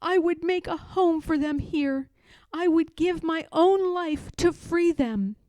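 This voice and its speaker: A woman's voice: very high-pitched, slow pace, warm tone, dry recording, pitched above the performer's own voice. A woman reading a storybook, voicing a humble, devout and determined enslaved woman in earnest prayer. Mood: devout, resolute. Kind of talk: storybook read-aloud.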